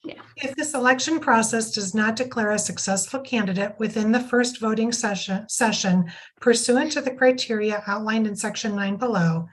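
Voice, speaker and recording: A hoarse woman reading procedural rules aloud at a board meeting. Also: a little high, moderate pace, low-quality recording. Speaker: council member reading the rules. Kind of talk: reading a bylaw at a public meeting.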